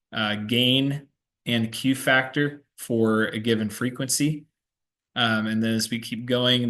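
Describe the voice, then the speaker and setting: A man's voice: mid-range voice, a bit bright. A young man giving a technical student presentation. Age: young adult.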